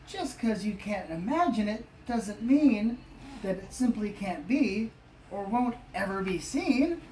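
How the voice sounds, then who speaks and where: A woman's voice: fairly high pitch, a little mellow. A woman voicing a cheeky, imaginative schoolboy from a storybook, earnest and boastful as he argues his tall tales. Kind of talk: storybook character reading. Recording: echoey, distant, faint background noise.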